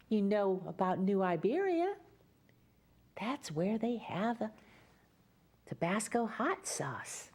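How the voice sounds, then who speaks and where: A woman storyteller in the role of Mother Goose, reading to children at an unhurried pace. Her voice is soft-edged and mellow, with pitch that moves up and down freely. She breaks from the text for chatty asides that explain pictures and places.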